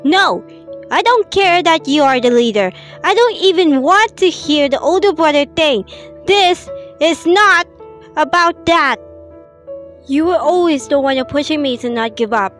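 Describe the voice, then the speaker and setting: A woman's voice: high-pitched, a bit bright. A woman voicing a stubborn, emotional young turtle, a fiery little brother, impassioned and defiant in a fan comic dub.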